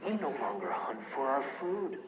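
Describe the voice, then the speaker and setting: A man's voice: mid-range voice, big pitch swings. A man playing a fervent militant cult leader in a video game, giving a declamatory propaganda speech over a broadcast. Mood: fervent, commanding. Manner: declamatory, measured lists. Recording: echoing broadcast speech under loud noise.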